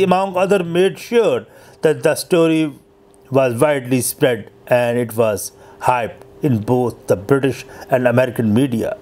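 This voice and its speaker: A man reading a scripted history narration as host, in a halting, stumbling way. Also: medium pitch, a little mellow, a little hiss or hum.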